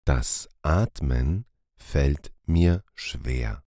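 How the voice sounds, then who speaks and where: A man reading short phrases calmly and deliberately for a language lesson, repeating each one. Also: a bass voice, no room sound, clean studio sound. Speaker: language teacher.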